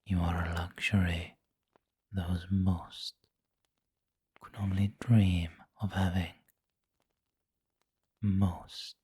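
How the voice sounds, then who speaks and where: A man playing a refined, doting and possessive vampire lord in an intimate ASMR roleplay, with a breathy whisper and formal, tender phrasing. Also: low voice, darkish tone, no room sound, exaggerated voice. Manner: slow, formal, tender, indulgent.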